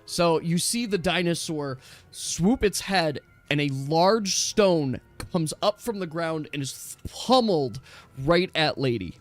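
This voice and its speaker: Guy game master, kinda high voice, really animated, explaining rules step by step, bit of music behind him. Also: slow pace. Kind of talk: casual online tabletop game refereeing. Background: background music.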